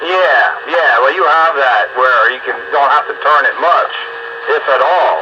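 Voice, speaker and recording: A man's voice: medium pitch, a little slow. An American man in casual, folksy conversation as an amateur radio operator over two-way radio. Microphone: two-way radio microphone. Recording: muffled radio transmission with clear static noise.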